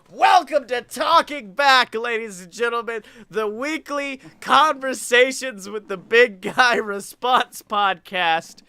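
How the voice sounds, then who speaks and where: A young man co-hosting a casual wrestling podcast, joking and swearing in an animated way. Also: extremely high pitch, slow pace, hardly any pauses, clean tone. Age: young adult. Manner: animated, profane, jokey. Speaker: podcast co-host. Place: small room at home, on a video call.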